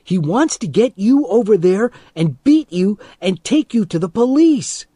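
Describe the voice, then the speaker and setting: A man's voice: mid-range voice, expressive, unhurried, darkish tone, dry recording, studio quality, pitched above the performer's own voice. A man voicing a bold young boy in a story, urgent and argumentative as he protects his friend. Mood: alarmed.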